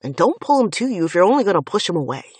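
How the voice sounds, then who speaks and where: A woman's voice: on the low side, big pitch swings, racing, breathy edge, no echo. A woman reading an audiobook voices an angry, protective young man who swears and presses every word with intensity. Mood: earnest.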